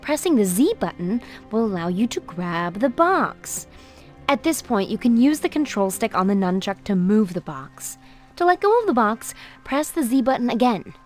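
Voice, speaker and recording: A woman playing a busy, irritated mother in a video game, brisk, firm and dismissive. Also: fairly high pitch, sing-song, soft background music. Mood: instructive. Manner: exasperated, firm. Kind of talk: video game cutscene voice acting with tutorial instructions.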